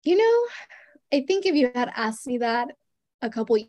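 A young woman in her twenties, a guest journalist chatting casually in an interview, full of "like" and "you know" and quick laughs. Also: on the high side, very animated, a little mellow, no room sound, highs cut off.

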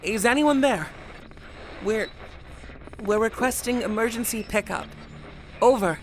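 A woman's voice: on the high side, unhurried, natural voice. A woman playing an anxious, hopeful young child who misses a parent, in an audio drama. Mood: pleading. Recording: clearly noisy ambience behind voice.

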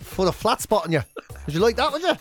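Irish male co-host, high voice, sweary mock-indignant banter, faint music underneath. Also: big pitch swings, quick pace, a bit bright. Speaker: podcast co-host. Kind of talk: casual banter.

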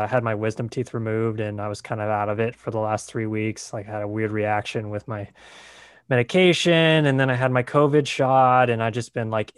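A man's voice: medium pitch, smooth, no room sound, studio quality. A man hosting a podcast, chatting casually and rambling a little, with lots of fillers. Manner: relaxed, rambling, many fillers like "like" and "uh". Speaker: podcast host.

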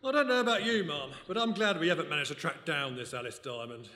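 A man's voice: fairly high pitch, fast talker, sharp tone. A man playing a bewildered but loyal British son and sidekick in a radio mystery, incredulous and wry. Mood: wry, dutiful. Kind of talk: radio play comedy-mystery acting. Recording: echoey hall, live stage recording.

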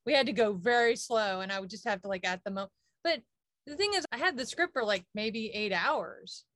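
A woman co-hosting a podcast, chatting casually and telling an animated anecdote with exasperated asides. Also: medium pitch, a little fast, dry recording. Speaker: podcast co-host. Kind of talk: casual chat.

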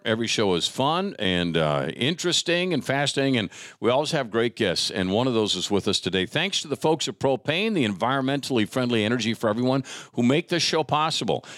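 A man hosting a casual interview podcast in a chatty, folksy, somewhat halting way. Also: lowish voice, a bit bright, dry recording, clean studio sound. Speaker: podcast host.

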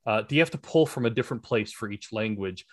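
A man's voice: lowish voice, animated, quick pace. A man hosting a music interview who asks long, enthusiastic questions and praises his guest's work. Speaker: interview host. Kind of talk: podcast interview.

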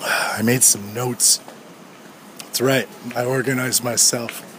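A man in his thirties rants sardonically and profanely, his swearing and jokes spilling out as he talks. His voice sits at an ordinary pitch, recorded on the move outside with loud street noise and traffic around him. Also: fairly even intonation, takes it slowly. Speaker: podcast host. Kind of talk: solo rambling podcast rant. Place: outdoors on a street.

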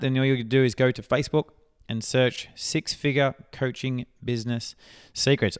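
Casual Australian man, clear voice. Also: medium pitch. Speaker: podcast host and sales coach. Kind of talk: casual podcast talk.

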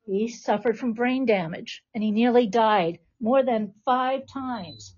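Woman, high voice, live podcast host, encouraging teacher manner, dull recording. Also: slow, a little mellow, breathy edge. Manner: encouraging, reassuring prompts. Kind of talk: live podcast hosting, addressing a class. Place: classroom with about twenty people.

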